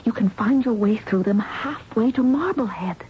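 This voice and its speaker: A woman's voice: on the high side, moderate pace, lightly acted. An actress playing an earnest, anxious but brave young woman in an old radio mystery, with a dramatic delivery. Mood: urgent, hopeful. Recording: muffled radio recording with faint hiss.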